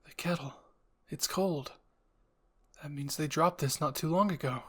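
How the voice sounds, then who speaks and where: A man's voice: fairly high pitch, a little slow, a bit bright, husky. A man voicing a young male soldier who is earnest, alert and kind, with an inquisitive manner, in an anime fan roleplay. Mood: puzzled.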